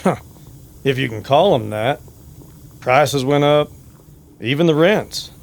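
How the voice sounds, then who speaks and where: A man playing a hesitant, worried small-town barber in an old-time Western radio drama, talking in a halting, confiding way. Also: medium pitch, lively intonation, gravelly, voice pushed up. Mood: grim, confiding.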